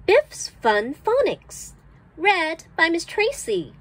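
Very slow, high-pitched woman teacher reading aloud. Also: very animated, poor audio. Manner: deliberate, carefully enunciated word by word. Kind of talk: slow read-aloud of an early reader for children.

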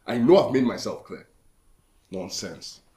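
A man's voice: lowish voice, big pitch swings, measured pace, mellow, roomy sound, slight background noise. An actor playing an angry, domineering, wealthy male employer with a Nigerian accent, blustering and repeating himself insistently in a film drama. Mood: stern, bossy.

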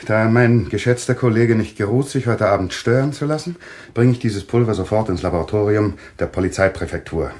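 An actor playing a stern, brisk doctor in a German thriller radio play, authoritative and measured. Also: male voice, lowish voice, darkish tone, lightly acted. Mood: businesslike.

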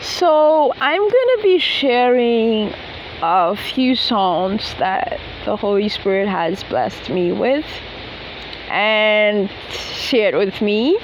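A young woman from the Bahamas talking earnestly and slowly about her faith to a phone camera held at arm's length in an open field. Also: mid-range voice, muffled recording, slight background noise. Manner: earnest, unhurried, sincere faith talk. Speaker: gospel songwriter and vlogger. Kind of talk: casual talk to camera, then unaccompanied singing. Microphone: phone camera at arm's length with earphone mic.

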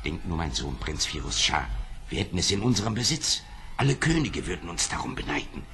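An actor playing a grand, greedy, commanding sultan in a fairy-tale radio play, with stately theatrical delivery. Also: male voice, low voice, sing-song, brisk, fairly bright tone, slight background noise, full character voice. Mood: amazed, greedy. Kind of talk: radio play acting for children.

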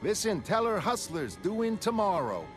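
An actor voicing a chatty working-class street vendor, colloquial, slangy and animated, in a motion comic. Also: male voice, high voice, moderate pace, voice pushed up. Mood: casual, chatty. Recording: studio voice over prominent music.

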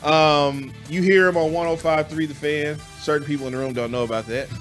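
A man with a fairly high voice hosts a casual sports talk show. He speaks into a boom mic in a studio and keeps things upbeat, joking with his co-host. Faint music plays in the background. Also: fairly even intonation, fairly quick. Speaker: podcast host. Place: studio with desks and panels. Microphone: desk microphone on a boom.

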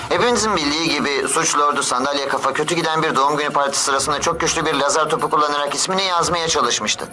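A man's voice: on the high side, quick pace, a loud crowd, natural voice, rough recording. A man voicing an earnest, urgent television news reporter in a cartoon dub, formal and dramatic. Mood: urgent reporting. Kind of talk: news commentary in animated series dub.

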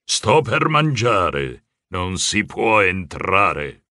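A man's voice: deep voice, big pitch swings, bright, forceful tone, no room sound, studio quality, exaggerated voice. A man voicing a tall, lanky, sinister rodent villain who talks ominously in rhyme in a children's story. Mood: coldly dismissive. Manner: slow, ominous, rhyming.

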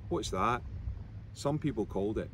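Man reading his novel aloud, lively pitch. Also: normal speed. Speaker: author reading from own novel. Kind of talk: narrated book reading. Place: outdoors by a seafront concrete wall. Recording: outdoor recording with background noise.

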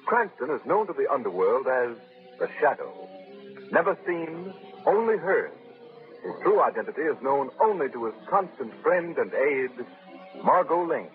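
A man's voice: medium pitch, very animated, moderate pace, faint music behind. A man announcing a 1930s radio drama in an emphatic, persuasive manner, from a tinny old broadcast.

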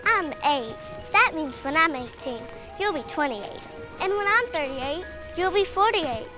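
A woman's voice: high voice, lively intonation, measured pace. A young actress playing a curious, matter-of-fact eight-year-old girl. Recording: film soundtrack with music.

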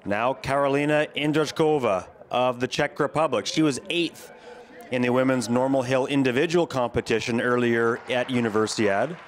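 A man giving measured play-by-play commentary on a ski jumping competition, speaking as a voice-over. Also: mid-range voice, a little hiss or hum. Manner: calm, measured play-by-play, lifting on big jumps. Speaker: sports commentator.

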